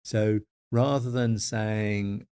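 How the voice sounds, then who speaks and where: Middle-aged guy explaining linguistics on a podcast, stops and restarts a lot, thinking out loud. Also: fairly low pitch, little pitch movement, very slow, clean tone. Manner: hesitant, thoughtful, repeats words while thinking. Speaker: podcast co-host, forensic linguist. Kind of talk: academic podcast discussion.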